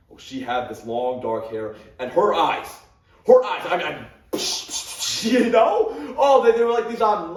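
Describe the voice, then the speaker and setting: A man's voice: medium pitch, natural voice. A young man playing an awkward, grieving male English teacher who stammers, repeats his words and gives nervous little laughs, in a dramatic monologue. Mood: flustered. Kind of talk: competitive dramatic interpretation monologue. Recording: roomy sound from a distant camera.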